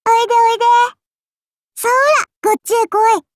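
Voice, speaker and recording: High, imperious little demon-god child. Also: female voice, level tone, normal speed, a little mellow, dry recording, clean studio sound. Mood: indulgent, teasing. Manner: haughty, archaic lordly speech, indulgent teasing. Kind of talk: anime game character voice lines.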